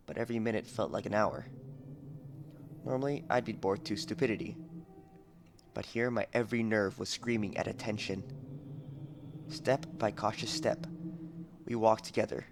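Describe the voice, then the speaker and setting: Young guy reading an audiobook, deepish voice w lots of fry, dramatic, slightly noisy headset mic. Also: normal speed, pauses often. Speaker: audiobook narrator. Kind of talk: dramatic scripted narration. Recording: noisy amateur headset recording.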